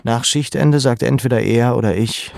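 An actor playing a young, melancholy camp prisoner in a measured, introspective radio drama narration. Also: male voice, mid-range voice, gravelly, background noise, close to an everyday voice. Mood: sober, reflective. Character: young deported male labour camp prisoner, melancholy, watchful.

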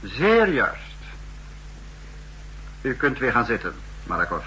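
Stern dictator, bright cutting voice. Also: male voice, mid-range voice, wildly swooping pitch, slight background noise, heavily acted, poor audio. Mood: cool, commanding. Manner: stern, formal, measured pronouncements. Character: authoritarian male head of state, cold, formal, commanding. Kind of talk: 1960s radio drama acting. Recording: old 1960s radio recording.

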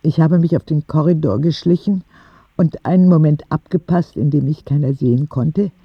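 A man's voice: on the high side, moderate pace, husky, dry recording, highs cut off. A man playing a frank, cheeky ten-year-old boy who tells his story in candid, wry audio drama narration, in standard German. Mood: hushed, shaken.

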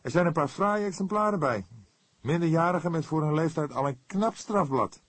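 A hot-headed young male detective, played by a male audiobook narrator, pitched far up from a low register. He speaks loudly and eagerly, with an excitable, indignant edge. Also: normal speed, no echo. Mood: eager, businesslike. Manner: loud, excitable, heated delivery.

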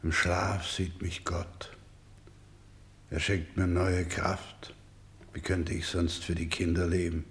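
Radio play doctor,, low-pitched and very measured, careworn, tender, grave. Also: male voice, level tone. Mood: sorrowful. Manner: slow, grave, gentle. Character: weary, tender orphanage doctor caring for starving children. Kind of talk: German radio play acting.

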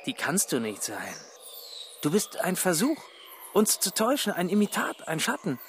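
A man's voice: high voice, huge pitch swings, moderate pace, a bit bright, a little hiss or hum, heavily acted. A man playing a wary, challenging young brother of a nonhuman race in a radio drama. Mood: defiant.

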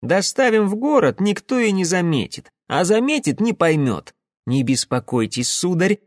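A male narrator puts on a young merchant's son for an audiobook. He is pitched well above the reader's natural voice, anxious and servile, yet puffed up with boastful self-importance. The sound is close and dry. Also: sing-song, normal speed, no room sound. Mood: self-important, fawning. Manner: fawning, pompous, self-important. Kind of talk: audiobook character voice.

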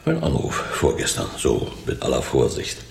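An actor playing a self-assured, cautious crook in a radio crime drama, speaking deliberately with sardonic maxims. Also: male voice, a bass voice, wildly swooping pitch, vocal fry, full character voice. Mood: guarded, wry. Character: wry, self-assured male crook, cautious gang planner. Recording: roomy echo, faint background noise.

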